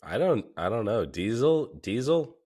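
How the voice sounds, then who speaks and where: A man's voice: medium pitch, sing-song, unhurried, darkish tone. A man hosting a podcast, chatting casually, joking and asking questions. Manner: joking, curious, swears freely. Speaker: podcast host. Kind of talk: casual chat.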